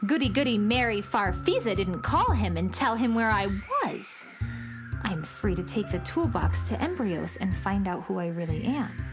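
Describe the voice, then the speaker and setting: A woman's voice: mid-range voice, sing-song, moderate pace, over music, close to an everyday voice. An actress playing a petulant, cantankerous young girl troublemaker in a children's space radio drama, with a sulky manner. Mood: musing. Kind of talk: children's audio drama acting.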